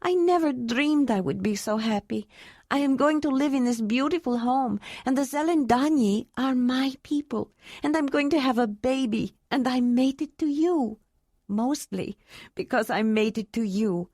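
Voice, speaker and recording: A woman voicing an emotional young woman in an audiobook, raising her voice into a higher, heartfelt tone. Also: moderate pace, darkish tone, no room sound, studio quality. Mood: tearful.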